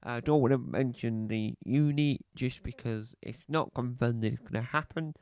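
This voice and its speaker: A man's voice: medium pitch, darkish tone, smooth, lightly acted. A man playing a rambling self-important podcaster. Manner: rambling, halting, fond of repeating phrases. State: slight cough. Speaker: comedy podcast host character. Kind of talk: scripted comedy monologue.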